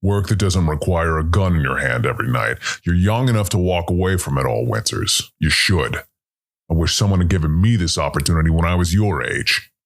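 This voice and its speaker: Male narrator as older space outlaw, extremely low pitch, gravel and fry, weary, fatherly, audio drama. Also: animated, a little fast. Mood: weary urging. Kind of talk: scripted audio story dialogue.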